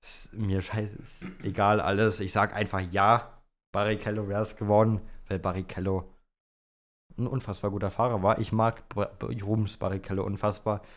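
A man's voice: on the low side, flat delivery, moderate pace. A young man chatting casually and colloquially as a podcast co-host. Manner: colloquial, slangy. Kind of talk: casual chat about Formula One.

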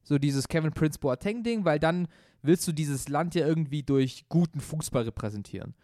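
A man's voice: a little high, lively intonation, moderate pace, darkish tone, no echo, studio quality. A young man co-hosting a casual football podcast, opinionated and rambling, often restarting his sentences. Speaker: podcast co-host.

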